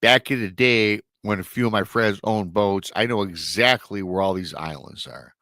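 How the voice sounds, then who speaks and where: A man's voice: on the low side, moderate pace, pushed and bright, dry recording. A middle-aged man hosting a live show, retelling a strange encounter story in a chatty way, sounding nasal and a little scratchy from a lingering illness. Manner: chatty asides to viewers. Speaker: paranormal show host.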